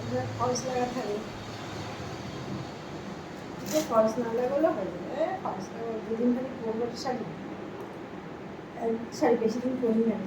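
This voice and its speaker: A woman's voice: a little high, fairly even intonation, normal speed, long pauses. Female vlogger, casual and chatty, echoey space with obvious background noise. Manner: chatty, repeatedly asking viewers for their opinion. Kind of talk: casual shopping vlog chat to viewers. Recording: roomy, distant mic, clear background noise.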